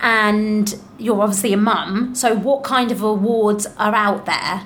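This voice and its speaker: A woman's voice: medium pitch, fairly even intonation, measured pace, a bit bright. A woman hosting a podcast interview in a chatty, informal, effusive way. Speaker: podcast host. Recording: clearly noisy background.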